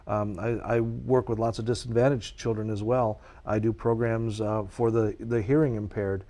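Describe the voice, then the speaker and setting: A man's voice: fairly low pitch, little pitch movement, normal speed, mellow. A man whose first language is Czech, answering interview questions at length in an earnest, passionate way as a nature educator.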